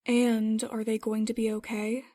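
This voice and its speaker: An earnest, self-doubting young pilot, acted by a woman in a space roleplay drama. Her voice sits a little high and stays fairly flat in melody, with worry and hesitation in it. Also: a little slow. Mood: worried. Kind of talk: Minecraft roleplay web series voice acting.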